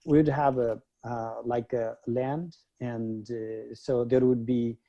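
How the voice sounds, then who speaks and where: A man from Nepal, a guest scholar, recalling his upbringing in an unhurried way with many pauses. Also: mid-range voice, flat delivery, lo-fi sound. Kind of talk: reflective interview talk.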